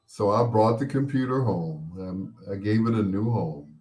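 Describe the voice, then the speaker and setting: A man's voice: on the low side, expressive, moderate pace, a little mellow. A man hosting a personal storytelling show in a casual, rambling, playful way, sometimes acting out what people said. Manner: playful, acts out quoted voices.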